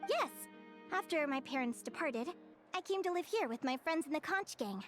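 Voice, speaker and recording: A woman's voice: high-pitched, expressive, moderate pace, music in the background. A woman voicing a cheerful, friendly young winged changeling girl in a video game. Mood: gentle, candid. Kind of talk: English video game voice acting.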